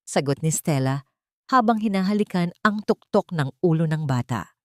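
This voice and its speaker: A woman narrator with a slightly low voice reads a romance drama. Her delivery is scripted and dramatic, and the recording is very dry and close. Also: no echo. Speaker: story narrator.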